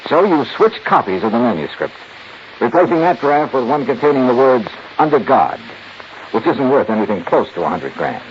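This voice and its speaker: A man's voice: medium pitch, big pitch swings, normal speed. An American man acting a shrewd, hard-boiled investigator in a 1950s radio drama, with a clipped, confident, sardonic delivery. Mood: accusing, confident. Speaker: lead actor and narrator. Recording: muffled, noisy 1950s radio recording.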